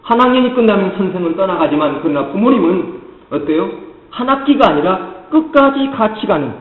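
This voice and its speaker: High-voiced preacher with a Jeolla accent. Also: male voice, animated, fairly quick. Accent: Jeolla Korean. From Jeolla, South Korea. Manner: earnest preaching, often addressing the congregation directly. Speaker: pastor. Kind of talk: sermon. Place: church sanctuary. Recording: echoey church hall, muffled lo-fi sound.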